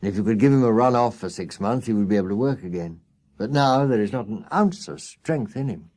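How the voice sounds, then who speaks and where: A man's voice: lowish voice, expressive, a little fast, dark tone, lightly acted. A man voicing a practical, matter-of-fact farrier giving firm advice in a storybook.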